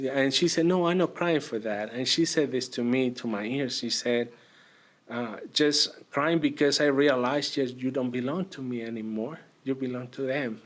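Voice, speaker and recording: A man from Argentina, a priest, telling his story in an interview, with many pauses and fillers. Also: medium pitch. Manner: hesitant, many fillers and restarts. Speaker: priest, guest.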